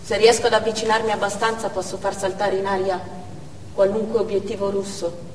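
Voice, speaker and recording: A woman's voice: mid-range voice, level tone, fairly quick, close to an everyday voice. Actress as a steely woman militant, echoing hall. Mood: proud, steely. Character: hardened Chechen woman militant trained for attack. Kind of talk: theatrical stage monologue. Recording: echoey hall, distant mic, faint noise.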